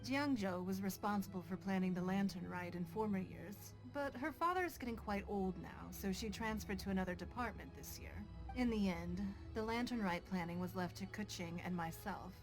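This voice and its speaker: An actress playing a composed, dignified city leader in a video game, formal, gracious and measured. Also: female voice, mid-range voice, over music, lightly acted, low-quality recording. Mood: composed, explanatory. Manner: formal, poised. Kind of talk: English video game voice acting.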